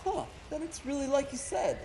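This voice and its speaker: Woman as high-voiced perky rookie cop. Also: moderate pace, background noise. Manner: pert, slangy. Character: perky, slangy young rookie police officer. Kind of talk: old-time radio drama acting.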